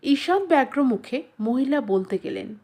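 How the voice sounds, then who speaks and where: A woman narrating a literary short story in an expressive scripted style, measured and with dramatic pauses. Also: high-pitched.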